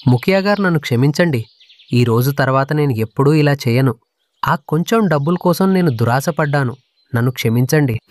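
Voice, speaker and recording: A man's voice: mid-range voice, animated, a little fast, dry recording, natural voice. A man voicing a greedy woodcutter in a children's cartoon story. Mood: apologetic. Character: greedy, dismissive male woodcutter, meek when scolded.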